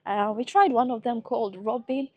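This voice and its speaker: A woman's voice: a little high, moderate pace, no echo, muffled recording. A woman chatting to viewers as a travel vlogger, explaining things casually, her voice slightly affected by a cold. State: slight head cold, voice a bit off. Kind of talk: casual travel vlog narration to camera.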